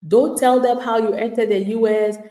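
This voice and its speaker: A woman's voice: on the high side, steady tone, normal speed, mellow, reverberant. A woman immigration attorney giving live legal advice to viewers in an emphatic, plain-spoken way, acting out the replies of people she describes.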